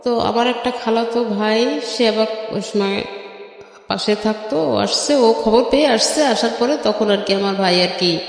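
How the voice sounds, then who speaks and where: A middle-aged woman from Bangladesh telling her personal story as an interview guest, haltingly, restarting and repeating phrases. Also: a little high, gravelly, soft background music. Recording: very echoey, long reverb.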